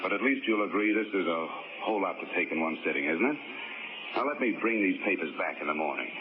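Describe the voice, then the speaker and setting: An actor playing a wry, hard-boiled insurance investigator who narrates and wisecracks dryly, in 1940s radio acting style. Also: male voice, sits low, lively intonation, fast, background noise. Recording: dull old radio broadcast with music.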